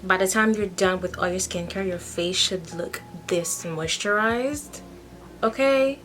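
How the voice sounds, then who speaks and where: A young woman from Haiti, chatting casually through a get-ready-with-me skincare routine as a beauty vlogger, frank and quick to ask "okay?". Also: mid-range voice, fairly bright tone, faint music behind.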